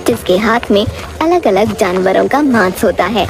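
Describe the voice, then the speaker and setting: A woman tells a scripted story as its narrator, with music playing underneath. Her delivery is measured storytelling, set apart from the characters. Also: mid-range voice, lively intonation, vocal fry.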